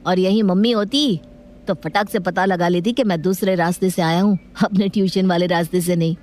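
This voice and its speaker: A woman voicing a sly, wheedling schoolboy in an animated moral story. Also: on the low side, brisk, lightly acted. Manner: pleading, sly. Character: cheeky, wheedling schoolboy outwitting his elders.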